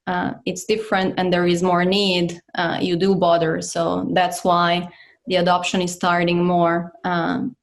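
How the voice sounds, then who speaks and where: A woman of origin who grew up in Italy, speaking as a company head in a casual interview, with many fillers and restarts. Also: lowish voice. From Croatian, grew up in Italy. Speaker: guest, company CEO.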